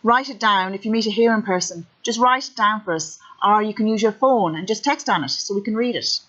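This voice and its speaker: A woman's voice: mid-range voice, brisk, a bit bright. Woman, Irish accent, plain direct delivery, first-person testimony, dull lo-fi recording. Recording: dull lo-fi audio.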